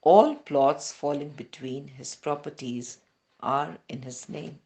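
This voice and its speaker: A woman from India with an Indian accent reads a scripted devotional narrative aloud in measured, deliberate phrases. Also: sits low, mellow. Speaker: reader of devotional testimonies.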